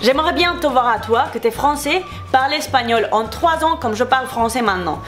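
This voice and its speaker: A young woman from Spain speaks French with a Spanish accent, talking fast and heated in a casual beauty vlog as she answers nasty comments. Her voice sits high, and she circles back over the same points again and again. Faint music plays underneath. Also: animated, a bit bright. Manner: heated, irritated, repetitive. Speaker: beauty YouTuber.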